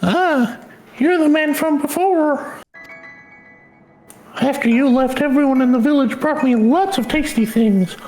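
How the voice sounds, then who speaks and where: Innocent, grateful game village boy, played by a woman: high, childlike, eager. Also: measured pace, long pauses, a little mellow, soft background music, rough recording.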